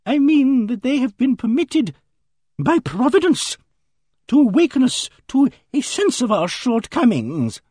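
A man plays a genteel old maiden lady from a storybook, pitched high and swooping in her agitation. She is pious and easily shocked, and she speaks in hesitant, fluttering murmurs, recorded dry and close. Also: sing-song, a little slow, a little mellow, husky, no echo, heavily acted. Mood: pious earnestness. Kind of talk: audiobook character dialogue.

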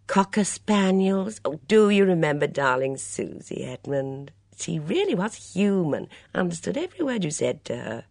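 A woman's voice: on the low side, darkish tone, slightly rough, dry recording, clean studio sound, pitched above the performer's own voice, full character voice. Woman playing a fussy gossiping mother. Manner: prattling, disapproving asides. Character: chattering fussy middle-aged mother, gossipy.

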